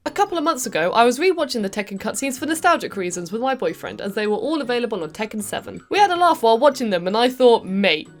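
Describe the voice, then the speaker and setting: High-pitched British woman, chatty reviewer. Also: sing-song, fast talker, hardly any pauses, soft background music. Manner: chatty, jokey, playful British slang. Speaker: host of a video game review channel. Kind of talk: casual comedic review to camera.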